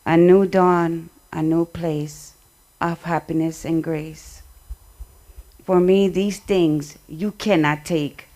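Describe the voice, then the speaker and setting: Slow-speaking woman addressing a gathering. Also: low-pitched, steady tone, dark tone. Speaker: speaker and poet at a community memorial event. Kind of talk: public remarks and poetry recital at a community event. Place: community event at fairgrounds, speaking to a gathering.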